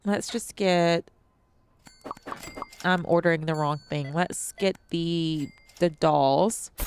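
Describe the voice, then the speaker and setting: A woman's voice: on the low side, takes it slowly, darkish tone, slight background noise. A young adult woman hosting a let's-play, chatting casually to viewers with ums and restarts, her nose a bit stuffy. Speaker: gaming channel host.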